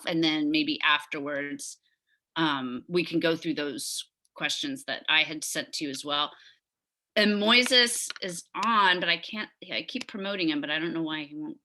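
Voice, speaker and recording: A woman's voice: low voice, bright, forceful tone. A woman chairing a neighborhood committee meeting on a video call, talking enthusiastically with many restarts. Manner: talkative, enthusiastic, trailing restarts. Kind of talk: virtual community meeting.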